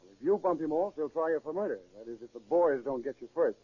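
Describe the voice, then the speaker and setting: An actor playing a tough, determined, persuasive police sergeant with slangy speech in a 1930s radio crime drama. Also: male voice, on the high side, expressive. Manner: fast, slangy, insistent. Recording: thin, tinny 1930s radio recording.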